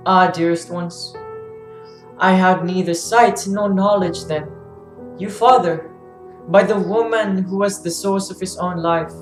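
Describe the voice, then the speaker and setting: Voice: mid-range voice, natural voice. An actor playing a blinded, grieving fallen king who pleads solemnly in a classical tragedy reading. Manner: mournful, pleading, solemn declamation. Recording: roomy echo, faint music behind.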